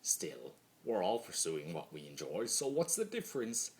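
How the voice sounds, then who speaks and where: A man's voice: low-pitched, very animated, moderate pace, a little mellow. A man voicing a bitter, mocking male spirit of chaos in a theatrical, brooding, sardonic dramatic reading of fan fiction.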